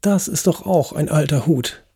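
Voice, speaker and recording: A man voicing Lady Agatha, a haughty elderly English lady who is a ghost, in a high, prim voice. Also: normal speed, dark tone, slightly rough. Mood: scornful.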